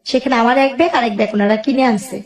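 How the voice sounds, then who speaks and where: A woman's voice: a little high, steady tone, quick pace, reverberant. A woman with a Bangladeshi accent tells her own life story as a guest, emotionally, quoting both sides of conversations as she goes.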